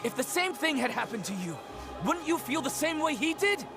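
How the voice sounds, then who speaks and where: An actress playing an earnest, indignant courtroom onlooker, insistent and pleading, in a video game. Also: female voice, sits high, big pitch swings, normal speed, over music. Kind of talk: dramatic video game acting.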